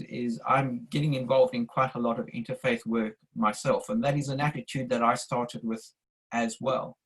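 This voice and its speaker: An older man with a South African accent speaks over a video call. His voice is husky, and he talks in a measured, earnest way. He sounds like he is giving firm advice. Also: mid-range voice, poor audio. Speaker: audience member asking a question. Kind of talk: online discussion statement.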